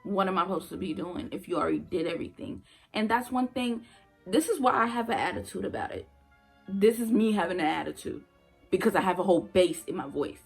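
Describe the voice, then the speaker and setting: Gravelly young woman telling it straight. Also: mid-range voice, expressive, brisk, faint music behind. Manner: emphatic, blunt, repeats points for effect. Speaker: former nursing assistant vlogger. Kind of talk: casual storytelling vlog.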